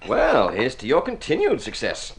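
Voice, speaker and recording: An actor playing a cynical, freeloading artist friend in an old radio drama, wry, drawling and sceptical. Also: male voice, mid-range voice, huge pitch swings, normal speed, gravelly, slight background noise. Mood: wry, amused. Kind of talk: mid-century radio drama acting.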